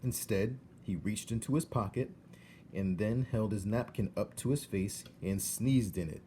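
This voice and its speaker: A man reading a storybook aloud as narrator, measured and with dramatic pauses. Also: on the low side, warm tone, slight background noise.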